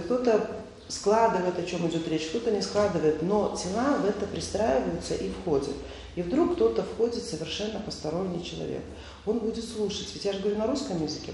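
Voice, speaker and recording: Male seminar leader, gravelly, conversational lecturing, miked from a distance. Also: high-pitched, darkish tone. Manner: conversational, hesitant fillers, rhetorical questions. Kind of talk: informal lecture quizzing the audience. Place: large indoor hall. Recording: echoey hall, voice from far off, faint noise.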